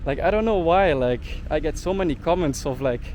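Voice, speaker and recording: A man's voice: on the high side, expressive, brisk, a little mellow, slight background noise. A young man in a ranting vlog monologue, indignant and peppering his talk with "like.". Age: young adult. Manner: indignant, heavy use of "like" fillers. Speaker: travel vlogger.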